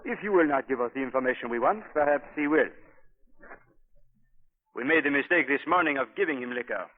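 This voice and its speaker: An actor playing a smooth, menacing French colonial police official in a 1940s radio drama, formal and measured. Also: male voice, medium pitch, animated, close to an everyday voice. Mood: threatening. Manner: formal, measured, silkily threatening. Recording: tinny 1940s radio recording.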